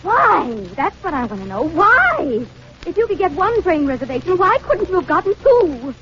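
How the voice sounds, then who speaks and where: An actress playing a demanding, peevish movie-star fiancée in a 1940s radio comedy, imperious and full of complaint. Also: female voice, very high-pitched, sing-song, normal speed. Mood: indignant. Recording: dull, slightly noisy 1940s radio recording.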